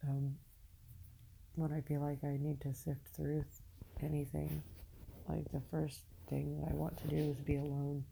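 A woman podcaster speaking off the cuff in a halting, confessional way, candid and casually profane, with a low husky voice and a downcast, weary air. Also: completely flat delivery, dark tone, a little hiss or hum, rough recording. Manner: halting, searching, with long pauses. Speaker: podcast host. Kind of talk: unscripted personal monologue, confessional.

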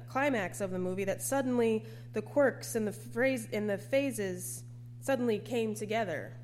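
Female preacher, somewhat high-pitched, reading a prepared sermon on a faintly noisy recording. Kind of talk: scripted sermon.

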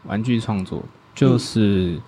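A man answering interview questions as a guest designer, speaking hesitantly with many pauses and restarts. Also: lowish voice, dark tone, slight background noise.

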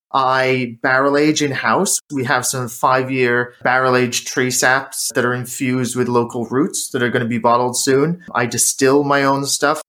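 A man with a mixed British-American lilt talks at an easy, average pitch. He is a podcast guest who explains his craft fluently and with lively energy. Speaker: guest, drinks maker. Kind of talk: casual interview talk.

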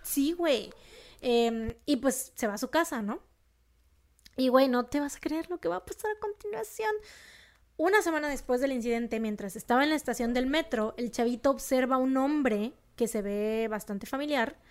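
High-voiced Mexican woman, slangy storyteller. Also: normal speed. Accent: Mexican, northern Monterrey. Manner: slangy, emphatic, animated outbursts. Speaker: podcast co-host telling a true crime story. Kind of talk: casual storytelling chat.

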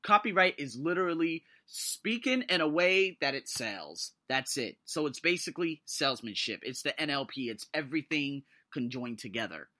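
A man in his thirties hosting a solo motivational podcast, talking in an excitable, emphatic way with his voice leaping up when he gets thrilled. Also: a little high, normal speed, hardly any pauses. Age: 30s. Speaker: podcast host.

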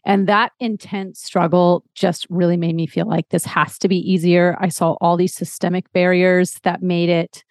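A woman's voice: fairly low pitch, level tone, normal speed, hardly any pauses, darkish tone, dry recording. Earnest woman in her forties, dry sound. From Texas. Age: 40s. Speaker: lactation counselor guest. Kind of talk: podcast interview, personal storytelling.

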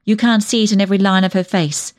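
Severe older woman, blunt, solemn scold with a soft heart, for storybook reading, played by a female narrator. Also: mid-range voice, fairly even intonation, quick pace, close to an everyday voice. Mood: solemn, indignant. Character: stern, severe older female cousin, indignant, soft underneath.

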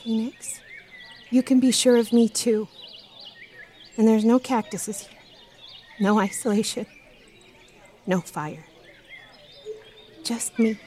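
A woman's voice: fairly high pitch, takes it slowly, long pauses, dark tone, slight background noise. A woman acting in an audio drama as a tender, shaken young woman, heartfelt and emotional with her dear friend. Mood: reassuring.

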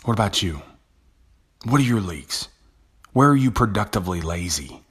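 Husky low-voiced man, casual podcast host. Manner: casual, conversational, with frequent restarts. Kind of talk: motivational monologue.

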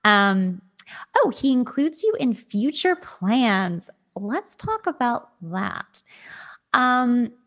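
A woman hosting a podcast in a casual, chatty way, with informal animated asides. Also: on the high side, drawn-out words. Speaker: podcast host. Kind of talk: casual chat.

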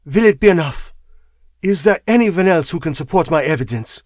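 A man voicing a pale foreign wife, quiet, coldly controlled and intense, in an audiobook story. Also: high voice, expressive, measured pace, no room sound, clean studio sound, pitched above the performer's own voice. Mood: cold, probing.

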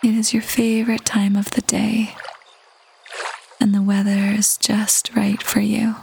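A woman leading a guided meditation in a soft, breathy half-whisper, gentle and calm with long pauses. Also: mid-range voice, slight background noise. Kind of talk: guided meditation, slow soothing narration. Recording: voice over prominent background music. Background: meditation music.

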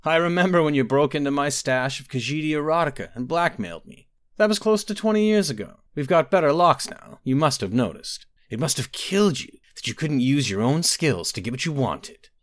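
A man's voice: a little high, animated, fairly quick, no echo, studio quality, pitched above the performer's own voice, natural voice. A man voicing a sardonic, hungover middle-aged prince with a drawling delivery. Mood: mocking.

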